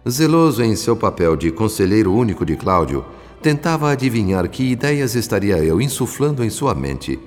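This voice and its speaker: A man with a Brazilian accent playing a solemn, compassionate narrator, telling a radio drama in measured literary phrases. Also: fairly low pitch, sing-song. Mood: solemn, tender. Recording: long reverb with music behind. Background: music.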